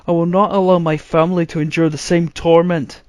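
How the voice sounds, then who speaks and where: A man's voice: fairly high pitch, level tone, a little fast, a little mellow, dry recording, close to an everyday voice. A man voicing a resolute, brooding young idealist in a storybook, earnest and assertive.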